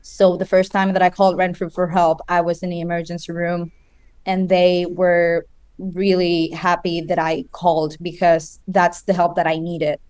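A woman in her twenties from Chile, a guest in an interview, speaking earnestly with halting restarts. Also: lowish voice, little pitch movement, a little mellow, clean tone, no room sound. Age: 20s.

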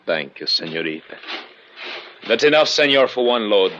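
An actor playing a suave foreign gun-running villain with a Spanish accent, mock-courteous and unhurried, in 1950s radio drama style. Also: male voice, on the low side, very animated, sharp tone. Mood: polite, threatening. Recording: muffled, noisy old radio recording.

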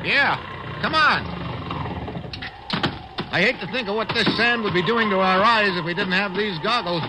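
An American man playing a bold, wry, hard-boiled adventurer in an old-time radio drama, with brisk informal delivery. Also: high voice, close to an everyday voice. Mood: urgent, shouting over storm. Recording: noisy vintage radio recording.

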